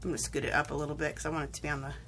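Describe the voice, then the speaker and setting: A woman's voice: low-pitched, level tone, rapid-fire, fairly bright tone, slight background noise. A woman talking casually through a paper craft tutorial at her table, rambling and correcting herself, sniffling from a cold. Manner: chatty, rambling, self-correcting. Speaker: crafting vlogger. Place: home craft table, indoors.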